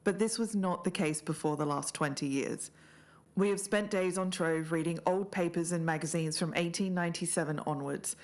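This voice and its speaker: Lowish-voiced woman hosting a podcast. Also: normal speed. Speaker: podcast co-host. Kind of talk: podcast narration with casual asides.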